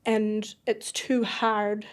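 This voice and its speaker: A woman's voice: medium pitch, flat delivery, very slow, smooth. A woman from Iraq, a podcast guest, talking reflectively and searching for words in a casual interview.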